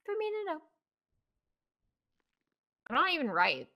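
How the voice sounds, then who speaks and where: A woman's voice: high-pitched, wildly swooping pitch, measured pace, sharp tone, heavily acted. A woman playing a cagey, stubborn law officer in casual online roleplay, teasing and sarcastically evasive. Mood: teasing, sarcastic.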